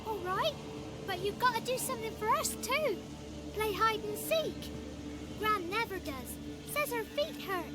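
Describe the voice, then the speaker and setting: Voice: extremely high pitch, animated, measured pace, pauses often. A performer playing a cheeky, bold little village child in a video game, with pert, simple rustic phrasing. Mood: matter-of-fact, bargaining. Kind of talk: video game cutscene acting. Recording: music behind.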